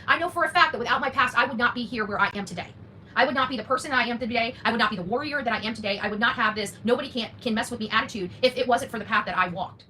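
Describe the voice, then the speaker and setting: Very fast, bright, blunt woman. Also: a little high. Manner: blunt, defiant, swearing; emphatic repetition of phrases. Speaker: online content creator and host. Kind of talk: unscripted opinionated monologue to an audience.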